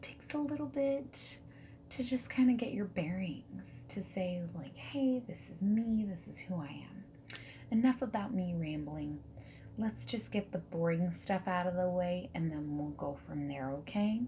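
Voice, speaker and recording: A mellow, soft and breathy woman's voice, often dropping into a whisper, acting as a friendly, whimsical dating consultant in an ASMR roleplay. She talks slowly and gently, reassuring and chatty, over faint hiss and keyboard typing. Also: on the low side, close to an everyday voice, low-quality recording. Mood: friendly, attentive. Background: mechanical keyboard typing.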